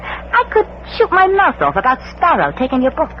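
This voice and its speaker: A woman's voice: high voice, wildly swooping pitch, brisk. An American woman playing a hard-boiled, scheming gangster's moll, slangy and calculating, in 1940s radio crime style. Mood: hard, accusing. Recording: muffled, lightly noisy old radio recording.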